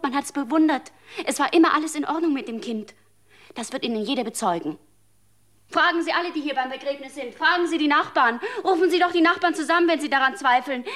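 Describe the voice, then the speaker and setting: A frightened grieving mother. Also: high-pitched. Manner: pleading, shifts from hushed whisper to raised protest. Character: grieving honest mother, fearful and defensive. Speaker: mother at a funeral. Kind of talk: radio drama acting.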